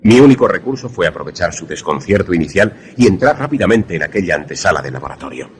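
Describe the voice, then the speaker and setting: An actor playing a wary, grave protagonist who narrates a radio suspense drama formally. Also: male voice, sits low, big pitch swings, fast. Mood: tense. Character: wary male narrator-protagonist, secret lover in danger.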